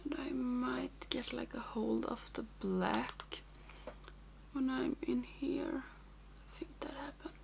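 A young woman talks slowly through her makeup routine in English, which is not her first language. Her fairly high voice carries a gravelly fry, and she drifts into whispers while concentrating. Recorded on a camera close in front of her, in a small room at home. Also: flat delivery, a little mellow. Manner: rambling, thinks aloud, drops to whispers while working. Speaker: makeup vlogger. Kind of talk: casual tutorial chat.

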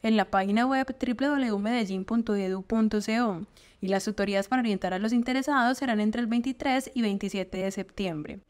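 A woman's voice: a little high, fairly quick, darkish tone. A woman reading scripted news narration as a voice-over.

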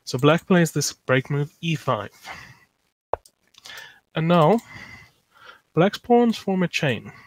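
A man's voice: a little high, sing-song, slow, long pauses, no room sound, low-quality recording. A man from South Africa giving a live online chess lecture, thinking aloud with many fillers and reading out chat messages.

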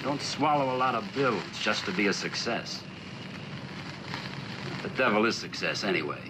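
An American actor playing a blunt, idealistic middle-aged sculptor in a 1960s television drama. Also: male voice, on the low side, animated, normal speed, close to an everyday voice. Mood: earnest, defiant. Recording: noisy old TV film soundtrack.

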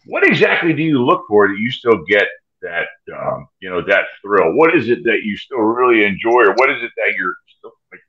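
A man's voice: on the low side, big pitch swings, brisk, muffled recording. A man in his fifties hosting a casual video-call chat about films, asking loose, rambling questions. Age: 50s. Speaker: host. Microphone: webcam on video call.

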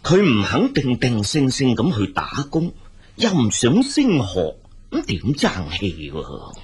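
An actor playing a weary, exasperated elderly father in a radio drama, speaking Hong Kong Cantonese with a husky voice and sighing, drawn-out complaints. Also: medium pitch, wildly swooping pitch, full character voice. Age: older.

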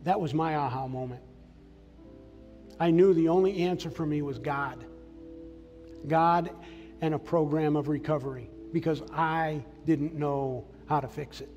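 A man's voice: a little high, a little slow, pauses often, highs cut off. An older man from Massachusetts preaching a sermon on a church stage, giving earnest, plainspoken personal testimony. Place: large church sanctuary stage. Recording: long hall reverb, soft music behind.

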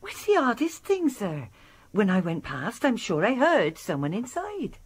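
A woman playing a deferential, flustered housekeeper in a radio drama, husky and apologetic. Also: on the high side, wildly swooping pitch, unhurried, full character voice. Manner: apologetic, servile.

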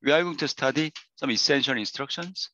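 A hoarse male professor gives a lecture over a video call, circling back on his phrases with plenty of fillers. His voice is bright and forceful. The audio is dry and a little dull, like a lo-fi call. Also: medium pitch, no echo. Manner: halting, repeats phrases, many fillers. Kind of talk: online university lecture.

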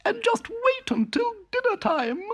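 A woman voicing a proud, fussy female town mouse in a storybook reading, with a high squeaky, sniffy delivery. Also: very animated, a little slow, exaggerated voice. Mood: haughty.

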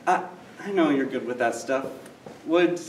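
A young man playing an excitable, teasing husband in a sitcom-style stage play. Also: mid-range voice, unhurried, close to an everyday voice. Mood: casual, pleading. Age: young adult. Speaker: stage actor. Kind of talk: 1960s sitcom parody stage acting. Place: theater stage. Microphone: distant camera microphone. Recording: roomy, noisy distant stage recording.

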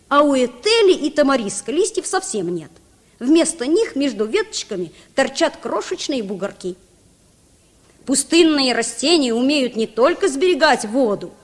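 A bright-minded boy captain lectures eagerly in a vintage children's radio drama. The voice is high and swings expressively, ringing in a long reverberant hall. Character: Dick Sand, brave, knowledgeable boy sea captain. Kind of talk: old Soviet children's radio play, lecturing.

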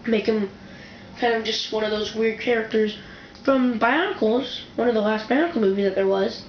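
Excitable woman reviewer, rambling and self-correcting, recorded on a camera mic in a roomy, echoing home room. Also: fairly high pitch, normal speed. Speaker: toy reviewer. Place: indoor room with a wooden floor, off camera. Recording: dull, echoey home camera recording with faint hiss.

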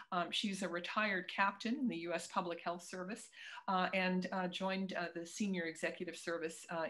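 An older woman moderating a panel over a video call, introducing a guest in halting phrases with many fillers. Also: fairly low pitch, a bit bright. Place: home room, indoors.

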